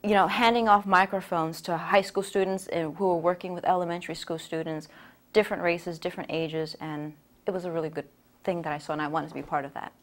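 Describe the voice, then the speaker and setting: A woman's voice: fairly low pitch, steady tone, fast. Woman recalling a theater class casually. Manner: informal, with fillers and restarts. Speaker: workshop participant. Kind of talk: casual interview.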